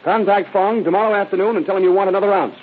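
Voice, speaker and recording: An actor in a 1930s radio drama playing a firm, shrewd narcotics captain with a clipped, commanding delivery. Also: male voice, high voice, very fast. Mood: shrewd, bargaining. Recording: tinny, hissy old radio broadcast.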